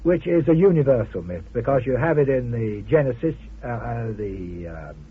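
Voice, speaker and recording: A man being interviewed as a guest author, talking informally and haltingly with wry amusement. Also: medium pitch, big pitch swings, slight background noise. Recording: muffled vintage radio interview.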